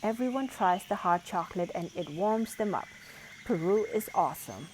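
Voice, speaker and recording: A woman's voice: lowish voice, lively intonation, moderate pace, a little mellow, slight background noise. A woman reading a children's picture book aloud as a storyteller.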